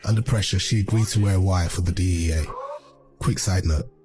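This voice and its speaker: A man's voice: sits low, fairly quick, darkish tone, soft background music. A man giving scripted, explanatory video commentary. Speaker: narrator of a video essay.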